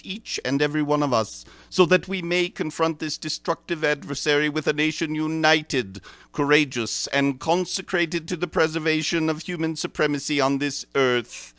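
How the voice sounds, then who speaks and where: Man acting as a smooth, solemn government statesman. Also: a little high, fairly bright tone, no echo, close to an everyday voice. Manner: formal, measured, stately oratory. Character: solemn, dignified male government secretary addressing the nation. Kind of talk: 1930s radio drama oratory.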